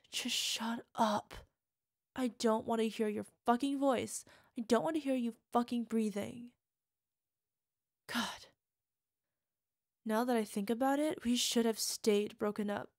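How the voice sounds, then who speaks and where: A young woman acting a volatile, bitter partner in an audio roleplay monologue. She swings between hushed whispers and swearing outbursts. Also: a little high, creaky, no room sound, clean studio sound. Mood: wistful.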